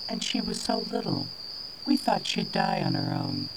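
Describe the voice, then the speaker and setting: A woman playing a gentle, pleading alien mother in a radio drama. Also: mid-range voice, lively intonation, moderate pace, close to an everyday voice. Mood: gentle explaining. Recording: very noisy, clicks and insect sounds.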